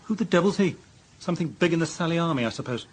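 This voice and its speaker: A cautious, cutting British deputy police chief in a radio drama. His husky voice is fairly high for a man, stern and officious, with a sarcastic edge. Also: lively intonation, fast talker. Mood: sceptical.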